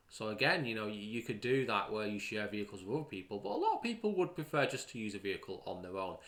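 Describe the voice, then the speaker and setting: A man's voice: lowish voice, sharp tone. A man hosting a podcast, giving opinion commentary in an emphatic way, repeating words for stress. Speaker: podcast host.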